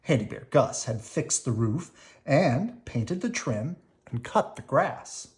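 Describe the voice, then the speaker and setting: Deep-voiced woman reading a children's story. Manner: animated, unhurried storytelling for young children. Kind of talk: expressive read-aloud storytelling.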